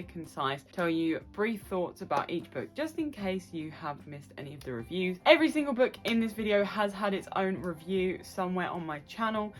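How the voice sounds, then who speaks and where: A woman hosting a casual book review vlog, talking informally and directly to her viewers and repeating phrases for emphasis. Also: fairly low pitch. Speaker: book reviewer and video host. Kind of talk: casual chatty book wrap-up to camera.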